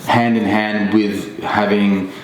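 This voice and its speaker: A man in a relaxed podcast conversation, talking in a thoughtful way with many ums and restarts. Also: takes it slowly. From Australia. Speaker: podcast guest. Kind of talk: casual reflective conversation. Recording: echoey room with faint rumble.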